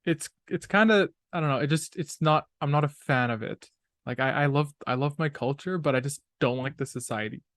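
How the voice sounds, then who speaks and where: Young male guest from Canada; slangy and easygoing, higher voice, dry close sound. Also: fairly quick, fairly bright tone, no room sound. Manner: laid-back, slangy, laughs easily. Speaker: podcast guest. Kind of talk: casual conversation.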